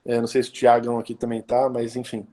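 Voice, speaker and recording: A man's voice: medium pitch, completely flat delivery, fast, mellow. A man in his thirties from the of São state from that region, giving a casual live-stream talk as a pastor. He speaks conversationally, with many hesitation fillers and tag questions. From Brazil, interior of São Paulo state. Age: 30s.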